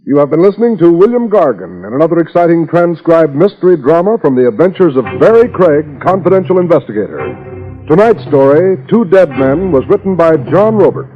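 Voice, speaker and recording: A man with an American accent reading scripted credits as a 1950s network radio announcer, formal and measured. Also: a little high, big pitch swings. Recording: dull vintage radio recording, music behind.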